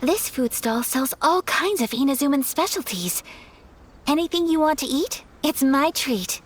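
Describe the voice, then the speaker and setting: A woman voicing a polite, earnest young noble lady in a game cutscene, speaking formally and softly. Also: sits high, expressive, measured pace. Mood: warm. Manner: formal, soft-spoken. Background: faint game music.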